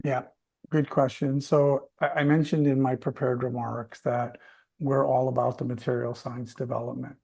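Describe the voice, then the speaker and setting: Creaky-voiced male executive speaking emphatically. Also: medium pitch, little pitch movement, moderate pace, darkish tone. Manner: emphatic, repeats phrases for stress. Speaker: company chief executive. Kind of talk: earnings call question-and-answer, off the cuff.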